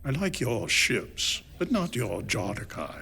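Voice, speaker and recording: An actor playing a gruff, skeptical military official who speaks slowly with a raspy voice. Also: male voice, medium pitch, big pitch swings, bright, forceful tone, exaggerated voice. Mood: dismissive. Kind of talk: audio drama acting.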